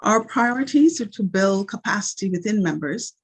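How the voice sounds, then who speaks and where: A woman who grew up in Barbados, speaking in an earnest, measured way as she opens a video call with a prayer and introduces herself. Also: mid-range voice, lively intonation, slightly rough. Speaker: event coordinator.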